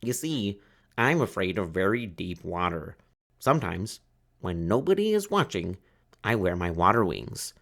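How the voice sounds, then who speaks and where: Man doing a slow, confiding storybook duck. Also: deep voice, big pitch swings, creaky, a bit bright, heavily acted. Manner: quacking, confiding. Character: bashful male duck, afraid of deep water.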